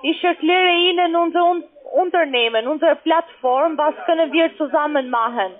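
A woman's voice: sits high, normal speed. A woman working as a call-centre caller, talking on the phone. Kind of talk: phone conversation. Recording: tinny, muffled phone line.

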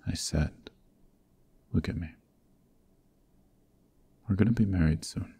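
A man playing a cold-hearted, commanding young mafia heir in a scripted ASMR roleplay, speaking sternly in a gravelly voice with clipped menace. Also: slow pace, warm tone, heavily acted. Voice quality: gravelly, very deep.

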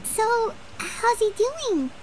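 A woman voicing a tiny, curious, chirpy childlike companion in a video game, with a squeaky voice. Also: very animated, drawn-out words, slight background noise, heavily acted, low-quality recording.

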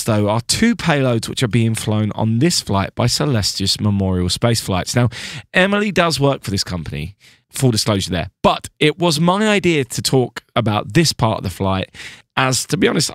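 A man's voice: medium pitch, dry recording, clean studio sound. A man hosting a podcast, upbeat and playful, mixing chat with scripted presenting. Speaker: podcast host.

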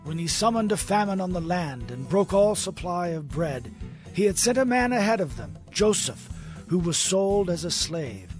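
Expressive male Bible narrator, high lively voice. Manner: expressive, measured reading. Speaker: scripture narrator. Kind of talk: dramatized Bible reading. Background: soft music.

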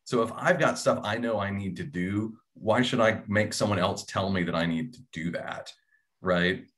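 Middle-aged male panelist, low-pitched voice, candid conversational delivery, thinking aloud on a video call. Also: normal speed, a bit bright. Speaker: panelist, audio drama writer. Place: indoor room at home, video call.

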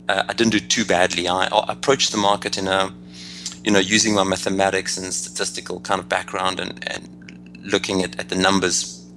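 Deep gravelly South African man. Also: bright, forceful tone, a little hiss or hum, low-quality recording. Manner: analytical, halting, many restarts and fillers. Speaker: guest trader. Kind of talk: podcast interview answers.

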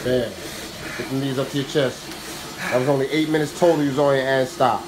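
A man's voice: mid-range voice, steady tone, brisk, noisy background. A man coaching people through a machine workout in a small home gym, calling out short repeated commands in casual slang, recorded on a handheld phone. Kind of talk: coaching commands during exercise. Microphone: handheld phone camera.

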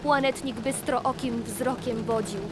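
A woman narrating a rhymed children's fairy tale in an expressive, deliberate storybook manner. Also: a little high. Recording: music behind the voice. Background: loud music.

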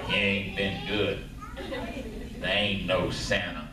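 A woman's voice: extremely low pitch, fairly even intonation, takes it slowly. A woman playing a grumpy puppet creature. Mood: grouchy. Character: grumpy puppet creature just woken from its winter sleep. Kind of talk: church puppet show. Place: church sanctuary. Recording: echoey sanctuary, distant camera, crowd noise.

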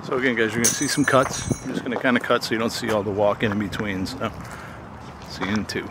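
A man's voice: fairly low pitch, quick pace. Guy narrating his explore on a handheld cam, chill, some noise behind. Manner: relaxed, talks to camera while walking. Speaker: urban explorer vlogger. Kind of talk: casual exploration commentary. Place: abandoned buildings, indoors and out.

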